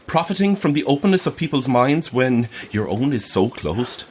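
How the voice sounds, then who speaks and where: An actor playing an earnest, indignant believer in the afterlife in an audio drama, halting and insistent. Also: male voice, mid-range voice, big pitch swings, husky. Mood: indignant, pleading.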